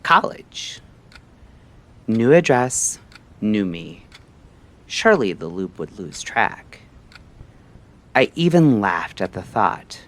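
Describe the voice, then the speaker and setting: A man narrating a scripted story like a late-night radio host, in a measured, theatrical way with short phrases and pauses. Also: lowish voice, very animated, a bit bright.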